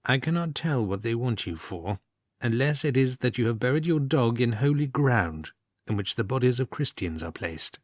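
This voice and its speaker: Man playing a guarded, husky bishop's lawyer. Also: medium pitch, lively intonation, moderate pace, no echo, clean studio sound, voice pushed up, lightly acted. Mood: guarded, knowing. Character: bishop's lawyer, a male summons-bearer, cagey. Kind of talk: storybook character voice.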